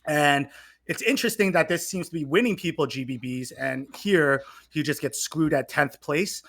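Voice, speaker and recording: A man's voice: a little high, moderate pace, fairly bright tone. A man speaking casually and emphatically on a podcast, giving opinions with repetition for stress. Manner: emphatic ranting with frequent repetition. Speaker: podcast guest.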